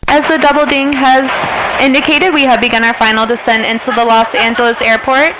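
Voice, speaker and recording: A woman's voice: sits high, flat delivery, a little fast. A woman flight attendant making partly scripted cabin announcements over an aircraft PA. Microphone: cabin PA handset. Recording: noisy aircraft cabin PA system.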